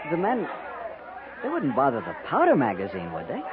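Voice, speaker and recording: An actor playing an anxious, deferential young cavalry lieutenant in a 1950s radio drama. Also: male voice, on the high side, huge pitch swings, moderate pace, phone-quality sound, a crowd in the background. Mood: deferential, uneasy. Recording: thin, noisy 1950s radio recording.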